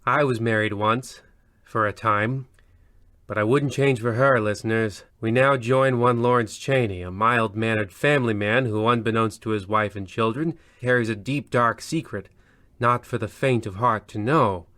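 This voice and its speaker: An actor playing a pompous, petty host of an old-time radio horror parody who rambles off into digressions. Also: male voice, lowish voice, moderate pace, fairly bright tone, dry recording, clean studio sound, natural voice. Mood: mock-solemn. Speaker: narrator and host. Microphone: close studio microphone in recording booth.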